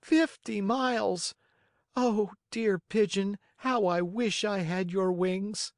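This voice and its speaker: A storyteller voicing an emotional young wooden puppet boy in a high, childlike, pleading voice. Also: slow pace, no echo, clean studio sound. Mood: eager. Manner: emotional, wailing, eager.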